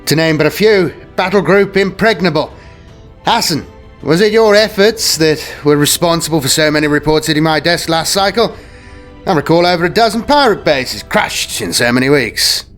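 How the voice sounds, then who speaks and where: Sci-fi audio story: a male narrator as a celebrated lord admiral, highish and very animated, pompous orator addressing his fleet officers. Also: normal speed, faint music behind. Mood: proud, teasing. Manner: pompous, oratorical, aristocratic flourish. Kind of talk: dramatic audio story character speech.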